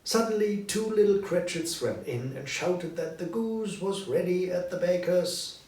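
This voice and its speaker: A man narrating a story expressively from a script, with dramatic, clearly separated phrases. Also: sits high, unhurried, darkish tone. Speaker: narrator, audiobook reader. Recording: roomy, distant, faint background noise.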